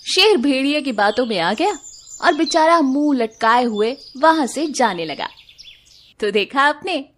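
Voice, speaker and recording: A woman's voice: sits high, animated, measured pace, a bit bright, a little hiss or hum. A woman reading a scripted animal moral tale for children as narrator. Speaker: story narrator.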